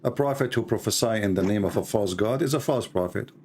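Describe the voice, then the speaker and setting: A man's voice: on the low side, fairly quick, darkish tone, slight background noise. A man whose first language is Arabic, hosting a live debate call in earnest, repetitive, broken English. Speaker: host of a live debate show.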